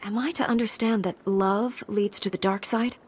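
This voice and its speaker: A woman's voice: medium pitch, steady tone, moderate pace, husky, close to an everyday voice. Woman acting a poised, direct Jedi apprentice. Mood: composed. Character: poised, frank young female Jedi apprentice, dutiful. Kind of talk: scripted video game acting.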